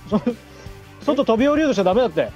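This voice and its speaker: A man's voice: very high-pitched, big pitch swings, very fast, a little mellow, muffled recording. A man hosting an online comedy show over a video call, bantering and throwing exclamatory, scolding retorts. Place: indoors, separate room on a group video call. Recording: video call with background music.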